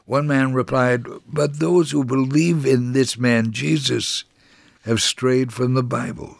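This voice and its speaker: A man reading a scripted first-person faith story for radio in a measured, solemn, dramatic storytelling manner. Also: medium pitch, fairly even intonation, vocal fry, dry recording, clean studio sound.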